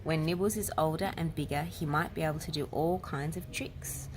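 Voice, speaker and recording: Woman reading as a patient young schoolboy, clear noise. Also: sits low, fairly even intonation, normal speed, close to an everyday voice. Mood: proud. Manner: earnest, explanatory. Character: patient, explaining older brother, young schoolboy.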